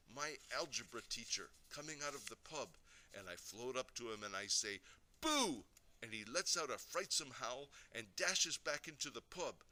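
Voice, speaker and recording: A man voicing a boastful, excitable young boy telling his dreams in childlike, ungrammatical speech for a storybook. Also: medium pitch, poor audio.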